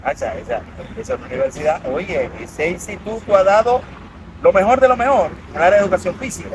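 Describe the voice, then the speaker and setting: A man hosting an interview, introducing his guest in an animated, promotional way. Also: high-pitched, fairly quick. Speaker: interview host. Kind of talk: conversational interview. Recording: outdoor traffic noise.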